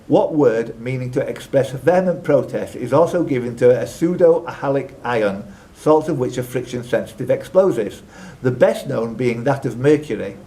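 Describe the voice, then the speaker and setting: A man's voice: medium pitch, darkish tone. A man hosting a live quiz in a club room, reading out questions and chatting with the contestants between them. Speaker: quiz host and question reader. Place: social club room with ambient noise behind. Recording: noisy live room recording.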